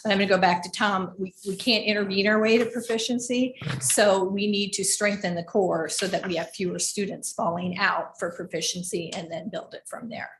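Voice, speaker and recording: Female district administrator, mid-pitched, giving explanations at a school board meeting; noisy recording. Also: steady tone, a little fast, few pauses. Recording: clearly noisy meeting livestream with clicks.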